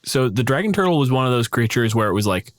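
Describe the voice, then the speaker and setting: A man's voice: mid-range voice, very animated, fast talker, dry recording, clean studio sound. A man co-hosting a podcast in a conversational style. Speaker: podcast host. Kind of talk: casual discussion.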